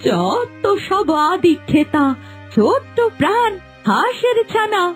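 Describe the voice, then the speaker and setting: Woman as harsh older mother-in-law, cartoon, imperious, scolding, drawn-out delivery, light music. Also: very high-pitched, very animated, full character voice. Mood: scornful, threatening.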